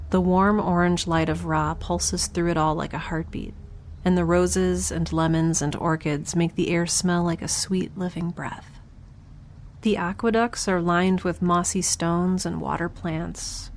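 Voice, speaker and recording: Wry, wistful young woman stranded in space, lowish voice, casual diary delivery, ambient noise behind her. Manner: casual, conversational, sardonic. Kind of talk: audio drama, first-person recorded diary.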